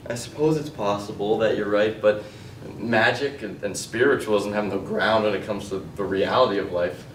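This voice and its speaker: An actor plays a self-assured man who talks down to his partner in halting, argumentative stage dialogue. His voice is fairly low, heard from a distance in a strongly echoing room with faint noise. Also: fairly even intonation, lightly acted. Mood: smug, dismissive. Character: James, condescending, self-assured man who lectures on art. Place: indoor stage, recorded from afar. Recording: echoey stage recording from a distance.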